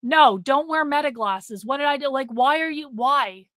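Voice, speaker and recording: A woman's voice: sits high, normal speed, fairly bright tone, dry recording, studio quality. A middle-aged woman hosting a livestream, talking bluntly to her chat, swearing freely and repeating herself for emphasis while losing her voice. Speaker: livestream host. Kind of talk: casual livestream talk to chat.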